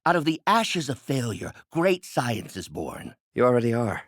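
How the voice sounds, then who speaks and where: A man's voice: mid-range voice, sing-song, moderate pace, no room sound, clean studio sound. An actor playing a brilliant older male scientist in a video game, articulate and professorial, a mentor with a bitter, menacing edge. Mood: enthusiastic. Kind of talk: dramatic video game voice acting.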